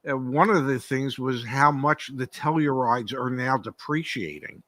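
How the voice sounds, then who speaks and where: A man's voice: medium pitch, fairly bright tone, no echo. Older man, dry close sound. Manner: measured, hesitant, many ums and restarts. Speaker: podcast co-host. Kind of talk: casual live-stream talk.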